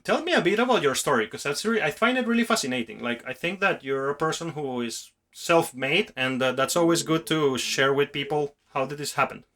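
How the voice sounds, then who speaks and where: Male podcast host, slightly high and very bright, forceful voice, earnest rambling conversational delivery. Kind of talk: casual, reflective podcast conversation.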